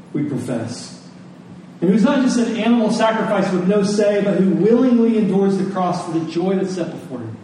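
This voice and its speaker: A man's voice: high-pitched, dark tone. A middle-aged man preaching a sermon in an earnest, conversational way, repeating phrases for emphasis. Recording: echoey room, distant mic, faint noise.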